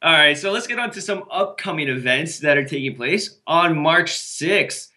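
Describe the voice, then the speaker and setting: Bright-voiced man chatting wrestling results. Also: on the high side. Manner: quick and chatty, with frequent restarts and self-mocking jokes. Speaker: podcast co-host. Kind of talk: casual wrestling podcast chat.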